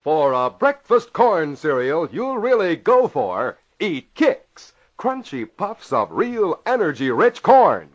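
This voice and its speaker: A man's voice: fairly high pitch, sing-song, slow, few pauses. An American man announcing for 1940s radio in an emphatic, dramatic scripted delivery. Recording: muffled vintage radio recording.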